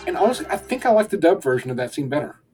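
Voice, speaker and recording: A young man co-hosting a podcast, reviewing an episode in relaxed, rambling casual chat and chuckling often. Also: fairly high pitch, very fast, faint music behind. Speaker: podcast co-host.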